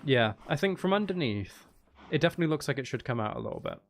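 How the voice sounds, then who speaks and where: A man with a middling pitch and a noticeably creaky, fry-edged voice. He talks casually as a live game streamer, tossing playful, teasing banter to his chat. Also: big pitch swings, quick pace. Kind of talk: casual gaming livestream chat.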